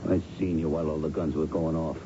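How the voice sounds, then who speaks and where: A man's voice: rumbling low, little pitch movement, fast talker. An actor playing a slick, pushy American smuggler in a radio mystery, needling and insinuating in slangy tough-guy talk. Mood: coaxing, needling. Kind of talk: radio mystery drama acting. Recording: muffled, very noisy radio broadcast.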